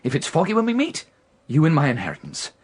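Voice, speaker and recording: A reckless rich young gambler in a radio drama. His voice is very animated, jumping up and down as he presses his case. He is agitated and insistent, often repeating himself. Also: a little high, fast talker, slightly rough, exaggerated voice. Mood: feverish, insistent.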